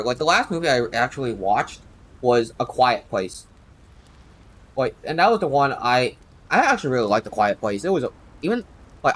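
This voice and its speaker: A man's voice: medium pitch, a bit bright. A young man chatting casually and slangily as he streams a game, rambling from thought to thought. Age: young adult. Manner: rambling, slangy, jokey self-deprecation. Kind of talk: casual livestream chat while playing. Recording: game music behind the voice. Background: video game music and dialogue.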